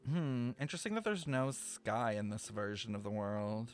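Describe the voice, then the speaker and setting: A man's voice: medium pitch, normal speed. A man giving casual, exclamatory reaction commentary as a game streamer. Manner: expressive, exclamatory. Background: game music.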